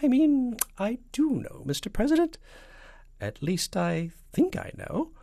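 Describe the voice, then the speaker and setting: A performer voicing a twitchy, flattering chief spy in a hesitant, excitable falsetto for a storybook. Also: a little slow, gravelly, no echo, clean studio sound. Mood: evasive, flustered.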